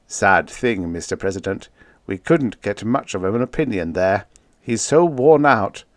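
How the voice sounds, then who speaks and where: A man's voice: fairly low pitch, animated, unhurried, dry recording. A man voicing a contemptuous, scheming male scientist in an audiobook, curt and condescending. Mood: cautious. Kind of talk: audiobook character acting.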